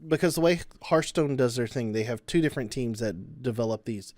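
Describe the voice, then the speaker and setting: A man's voice: mid-range voice, a little fast, darkish tone, dry recording. A man co-hosting a podcast in casual chat, enthusiastic and explaining at length. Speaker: podcast co-host.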